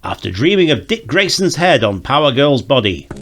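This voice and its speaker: A man's voice: medium pitch, very animated, normal speed, over music. A man making dry, mocking quips as a comic riffer. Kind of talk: quips over an old audio drama.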